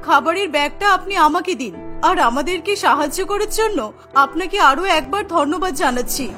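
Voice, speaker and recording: A woman's voice: very high voice, lively intonation, fairly quick, bright, forceful tone, soft background music, exaggerated voice. A woman voicing a needy, anxious older mother-in-law in a cartoon, emphatic and plaintive. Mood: grateful. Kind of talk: cartoon character acting.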